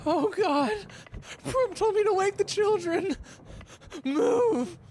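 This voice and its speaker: A woman's voice: extremely high pitch, lively intonation, slow pace, a little mellow, a little hiss or hum, exaggerated voice. A woman playing a panicky, anxious sailor man in an audio drama, frantic and whiny. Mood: panicked.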